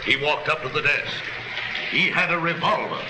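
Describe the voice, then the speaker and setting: A man's voice: fairly high pitch, animated, normal speed, close to an everyday voice. An actor playing a scheming, dignified banker in a 1930s crime film, earnest and theatrical in his delivery. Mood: urgent, feigned shock. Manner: earnest, theatrical pleading and explaining. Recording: noisy, echoey 1930s film soundtrack.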